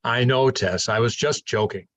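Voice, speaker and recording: An actor playing a kindly older professor in a staged play, patient and wry, explaining things conversationally. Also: male voice, medium pitch, moderate pace, a bit bright, lightly acted. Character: kindly older male professor, gentle, fond, wry mentor. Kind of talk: staged reading of a science fiction play.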